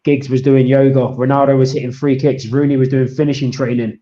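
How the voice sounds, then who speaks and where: A man co-hosting a casual football podcast, speaking in a thoughtful, measured way. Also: mid-range voice, little pitch movement, warm tone. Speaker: podcast co-host. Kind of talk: casual chat. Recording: dull, roomy podcast audio.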